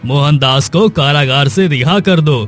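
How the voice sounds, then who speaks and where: A man's voice: on the high side, unhurried, fairly bright tone, soft background music. A man voicing a stern, formal king in a cartoon moral story. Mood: grave. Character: stern, weighty king holding court.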